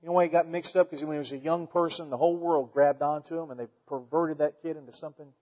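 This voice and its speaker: High-voiced man preaching in a church hall. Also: fairly even intonation, fast talker, darkish tone. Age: 40s. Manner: fervent, rhetorical questions, folksy asides. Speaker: pastor. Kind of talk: sermon. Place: church sanctuary. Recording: dull sound in a reverberant church hall.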